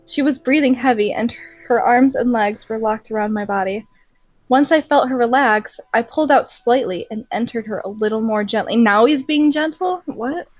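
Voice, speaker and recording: A woman's voice: fairly high pitch, normal speed, no echo, highs cut off. A young woman reading fan fiction aloud in a mock-dramatic way and adding sarcastic, giggly comments between passages.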